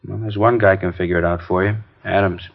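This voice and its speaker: An actor playing an easygoing narcotics police sergeant in a 1950s radio drama, talking casually with muttered asides. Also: male voice, low voice, steady tone, fairly quick, vocal fry, over loud music. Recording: dull, lo-fi 1950s radio broadcast.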